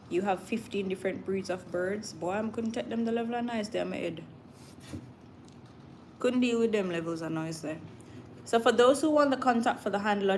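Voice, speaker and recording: A woman with a Jamaican accent hosting a casual livestream, chatting informally with viewers and drifting into Patois. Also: medium pitch. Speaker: livestream host. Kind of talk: casual live chat with viewers. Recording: clear background noise.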